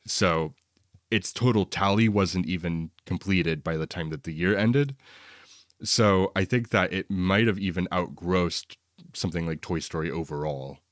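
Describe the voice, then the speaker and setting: A man's voice: sits low, measured pace, dry recording, studio quality. A man co-hosting a podcast, casually talking through a film review in a conversational, opinionated way. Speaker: podcast co-host.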